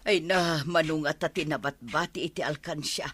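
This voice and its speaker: An actress playing a flustered, evasive wife in a regional radio comedy drama, hesitant, stammering and full of exclamations. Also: female voice, low voice, moderate pace, a bit bright, slight background noise. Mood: evasive, stalling. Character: flustered, evasive wife hiding a money secret.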